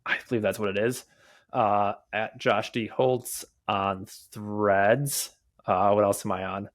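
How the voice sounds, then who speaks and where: A young adult man who stutters, speaking as a podcast guest in casual talk, with frequent fillers, pauses and restarts. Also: on the low side, fairly even intonation.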